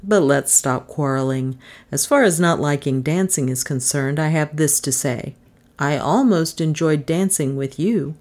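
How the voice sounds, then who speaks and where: A woman's voice: low-pitched, measured pace, a little mellow. Woman reading as a stubborn, wry man. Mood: wry, sentimental. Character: stubborn, wry man, grumbling but sentimental. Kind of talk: audiobook character dialogue.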